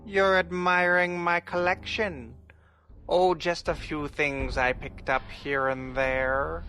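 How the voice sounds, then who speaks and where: Man acting a spooky anthology host, slow and measured, theatrical, ominous, sharp bright voice. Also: a little high, steady tone, exaggerated voice. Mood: ominous, welcoming. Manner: theatrical, ominous, drawn-out emphasis. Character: mysterious theatrical horror host, man with no identity. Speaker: host of an old time radio show. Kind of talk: scripted spooky show introduction. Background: music behind the voice.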